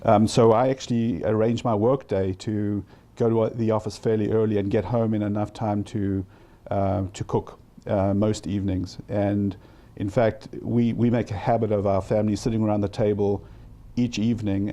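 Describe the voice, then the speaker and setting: Low, mellow South African man. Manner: thoughtful, restarts often, repeats words. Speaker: interviewee. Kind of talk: casual interview.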